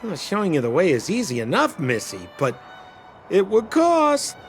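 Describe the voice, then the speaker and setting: Slippery game rogue, smarmy and wheedling, wildly animated pitch, music underneath. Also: male voice, moderate pace, full character voice. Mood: smug, ingratiating. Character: slippery, theatrical young male con man and smuggler. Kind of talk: video game voice acting.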